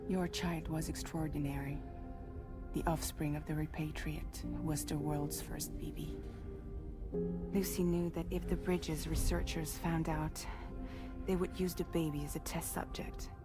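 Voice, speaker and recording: A grave woman commander in a video game cutscene. Her voice is low and her delivery measured and authoritative. Prominent music plays behind her. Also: little pitch movement. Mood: solemn. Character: composed woman commander briefing her crew, grave and steady.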